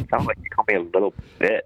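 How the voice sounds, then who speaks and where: A man's voice: fairly low pitch, steady tone, fast talker, highs cut off. A man co-hosting a comedy podcast, questioning everything in a dry, incredulous way. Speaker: podcast co-host. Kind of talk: improvised comedy banter.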